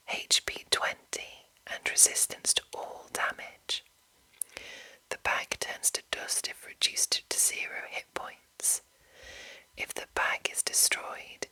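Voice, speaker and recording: A woman whispering in a breathy, unvoiced voice, softly and deliberately reading aloud as an ASMR host. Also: poor audio. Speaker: ASMR video host and narrator.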